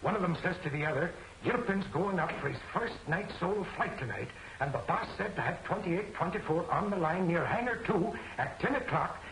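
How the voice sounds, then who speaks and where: High-pitched, blustery Irishman. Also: lively intonation, fairly quick, few pauses, fairly bright tone. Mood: eager, important. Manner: blustery, indignant. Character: excitable, blustery Irish newspaper bodyguard and legman. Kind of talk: 1940s film serial acting. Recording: roomy, noisy old film soundtrack.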